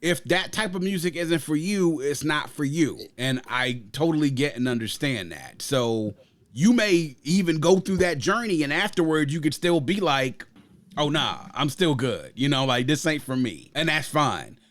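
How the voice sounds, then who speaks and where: A man's voice: on the high side, expressive, moderate pace. A man hosting a casual podcast album review, talking at length in winding sports analogies and steering the discussion. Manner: long rambling sports analogies; leads the discussion, asks others for picks. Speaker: podcast host. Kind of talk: casual music review chat.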